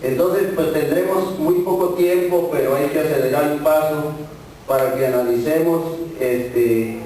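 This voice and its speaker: Union guy giving a speech at a forum, earnest and measured, hollow room, faint hiss. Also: a little high, mellow. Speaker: union representative of farm workers. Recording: echoey room, voice from far off, faint noise.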